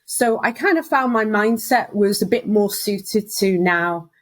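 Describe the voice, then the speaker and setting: A woman's voice: mid-range voice, a little slow. Chatty woman in her thirties. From Wales. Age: 30s. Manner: chatty storyteller, acts out quoted voices, laughs often. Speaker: guest, recruitment business founder. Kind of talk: casual interview chat.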